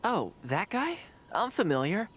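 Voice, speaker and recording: An actor playing a calm, courteous, tactful young man in a video game, speaking politely and articulately. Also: sits high, unhurried, full character voice. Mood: explanatory. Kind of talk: English video game voice acting.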